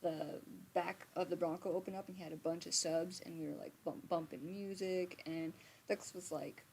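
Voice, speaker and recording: A woman's voice: fairly low pitch, fairly even intonation, normal speed, creaky, darkish tone, a little hiss or hum. Rambling, chatty woman telling a story. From Montana, USA. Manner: rambling, chatty, frequent fillers and restarts; swears casually. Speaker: live storytime streamer. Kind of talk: casual storytelling livestream. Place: home, indoors. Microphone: phone or webcam on a live stream.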